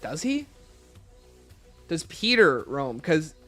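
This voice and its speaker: A man's voice: on the high side, big pitch swings, slow pace, fairly bright tone, faint music behind. A man hosting a livestream, reading out chat questions and answering them informally while thinking aloud. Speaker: livestream host.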